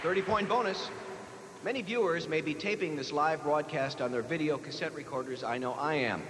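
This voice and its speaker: A man's voice: on the high side, a little fast, fairly bright tone. A man with an American accent hosting a live quiz show, reading out questions to the players. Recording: echoey hall, noisy old TV broadcast.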